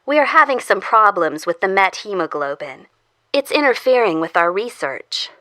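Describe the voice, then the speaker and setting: Woman acting as polite researcher in a zombie radio play, crisp bright tone, dry studio sound, lively pitch. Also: moderate pace, dry recording. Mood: clinical, explanatory. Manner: polite, clinical explaining. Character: polite female scientist researching a zombie immunity. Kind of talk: audio drama dialogue.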